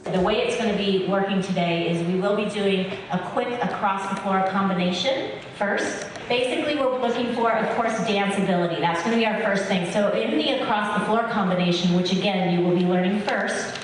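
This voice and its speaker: A woman briefing dancers on the audition format in a clear, instructive way. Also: mid-range voice, a little fast, pauses often, low-quality recording.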